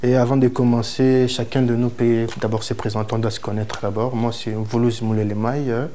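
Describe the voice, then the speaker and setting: Halting man teaching a class. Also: mid-range voice, flat delivery, warm tone. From DR Congo, Kinshasa. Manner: deliberate, halting delivery with fillers. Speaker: history teacher. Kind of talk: classroom lesson.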